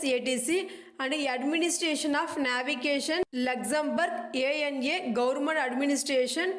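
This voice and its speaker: A woman's voice: high voice, fairly bright tone. A woman with an Indian accent reading study notes aloud in a choppy way, dropping small connecting words. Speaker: presenter of an educational video. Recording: echoey room.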